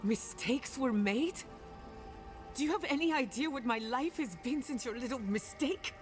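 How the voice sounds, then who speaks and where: A woman playing a wounded, sharp-tongued animancer in a fantasy game, emotional and sardonic. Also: low-pitched, measured pace, faint music behind. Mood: bitter, angry. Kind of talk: fantasy video game acting.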